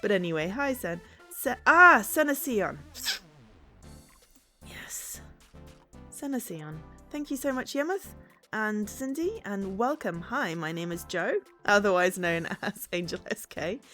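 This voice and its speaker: A woman chatting as she streams a game, a bit hoarse from a sore throat, bubbly and quick to laugh. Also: medium pitch, very animated, pushed and bright, soft background music. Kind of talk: casual game-stream chat.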